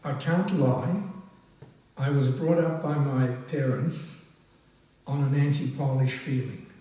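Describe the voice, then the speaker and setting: Older man speaking haltingly in an echoey hall. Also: mid-range voice, mellow, distant mic. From France. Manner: halting and self-deprecating, many ums and restarts. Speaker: past president honoured at a society oration. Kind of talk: speech. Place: large hall at a public event. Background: audience laughing.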